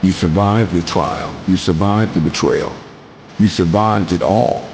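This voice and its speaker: Very low-voiced male motivational speaker. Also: a little mellow, slight background noise, rough recording. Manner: emphatic, deliberate phrases with rhetorical repetition. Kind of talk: motivational speech.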